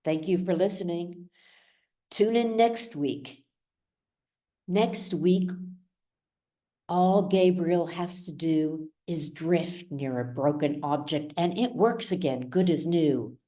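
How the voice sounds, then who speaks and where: A woman reading literary fiction aloud as a narrator, with measured, dramatic storytelling. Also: fairly low pitch, warm tone. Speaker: author reading their own novel. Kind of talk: scripted audiobook narration.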